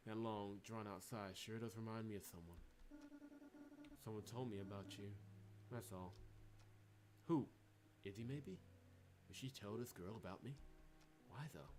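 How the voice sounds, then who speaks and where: A man's voice: fairly low pitch, unhurried, pauses often, a little mellow, natural voice. A man playing a puzzled, easygoing amnesiac game protagonist with a questioning, exasperated delivery. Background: game music behind the voice.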